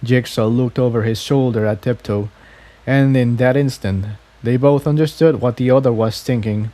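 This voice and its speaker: A man's voice: medium pitch, steady tone, normal speed, dark tone. A man narrating a scripted story aloud, occasionally stumbling and repeating phrases as he reads. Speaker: fan fiction reader.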